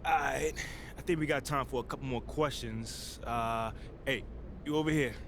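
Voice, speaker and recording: A man's voice: medium pitch, normal speed, fairly bright tone, lightly acted. Game cutscene: man as a friendly press reporter, polite questions, noisy capture. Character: friendly male sports reporter, adult. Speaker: sports reporter.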